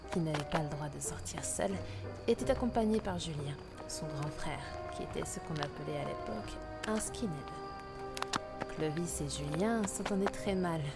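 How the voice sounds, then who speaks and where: Woman as storytelling mother, slightly low voice, gentle, measured, spooky bedtime narration, music behind. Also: lively intonation. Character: gentle mother telling her child a spooky tale. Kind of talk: scripted bedtime-story narration, audio drama.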